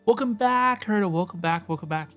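A woman's voice: mid-range voice, animated, a little fast, fairly bright tone, faint music behind. A woman streaming a game, chatting casually and slangily with viewers and often repeating her phrases. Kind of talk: casual livestream chat over gameplay.

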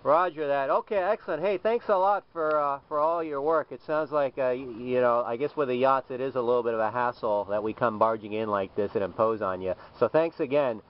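A man's voice: mid-range voice, fairly quick, hardly any pauses. A man, a solo sailor, talking casually and politely on the radio from a small boat cabin in an easygoing way. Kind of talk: casual marine radio exchange. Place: small sailboat cabin at sea. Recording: dull lo-fi camera audio, faint noise.

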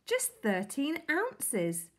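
A woman's voice: sits high, huge pitch swings, very slow, pitched above the performer's own voice, exaggerated voice. Gushing kindly lady, as a woman reader voices her. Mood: doting. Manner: effusive, pleading. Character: sweet, gushing middle-aged woman doting on her pet. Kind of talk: storybook reading.

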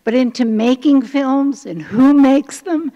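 High-voiced woman hosting a lecture. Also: a little slow, mellow, highs cut off. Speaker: event host. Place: lecture hall stage. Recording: hall reverb.

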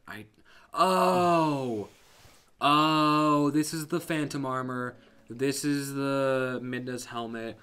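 A man's voice: mid-range voice, extremely slow, fairly bright tone, clear, resonant tone. A young man casually chatting and joking while he plays a video game with a friend. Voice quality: offhand, jokey, crude. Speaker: gamer playing. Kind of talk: casual let's play chat. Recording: faint background noise.

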